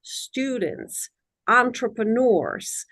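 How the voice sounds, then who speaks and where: A middle-aged woman from Romania, an immigration attorney answering interview questions in a measured, articulate, formal way. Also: on the high side, animated, fairly bright tone.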